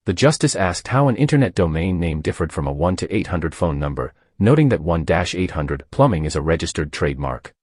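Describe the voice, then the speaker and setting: A man reading a news article aloud as the narrator of a news channel, in a steady scripted delivery. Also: low-pitched, fairly quick, hardly any pauses, slightly rough, no room sound, clean studio sound.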